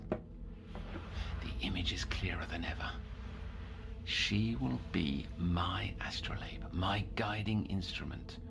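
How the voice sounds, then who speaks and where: Deep-voiced, brooding, obsessive counsellor. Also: male voice, creaky, full character voice. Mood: brooding, obsessed. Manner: formal, measured diction, muttering into whispers. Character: brooding, obsessive male psychiatric counsellor, formal and scheming. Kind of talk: audio drama monologue and dialogue.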